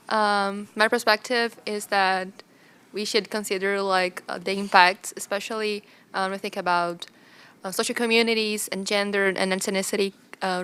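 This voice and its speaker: A woman's voice: mid-range voice, a bit bright, clean tone. A woman whose first language is Portuguese, answering in a workshop with hesitant fillers. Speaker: workshop participant. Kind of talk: spontaneous answer.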